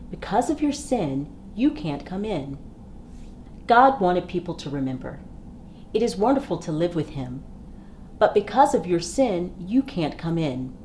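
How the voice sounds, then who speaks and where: Woman, children's storybook reader, very lively pitch, unhurried pace, emphatic dramatic phrasing, some background noise. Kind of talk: expressive children's storybook read-aloud.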